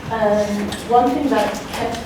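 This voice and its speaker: A woman's voice: on the high side, takes it slowly. A woman in a conference audience, tentatively thinking aloud as she puts an academic question. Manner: tentative, frequent "like" as filler. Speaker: audience member asking a question. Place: indoor university conference room. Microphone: distant room microphone. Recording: echoey, noisy room from far off.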